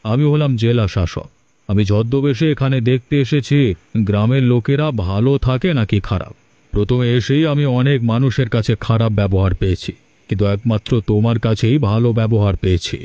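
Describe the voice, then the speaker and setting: A man's voice: mid-range voice, normal speed, dark tone, no echo, lo-fi sound, close to an everyday voice. A man voicing a kindly, resolute district magistrate in disguise in a cartoon story. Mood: earnest, explaining. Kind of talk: Bengali cartoon story dubbing.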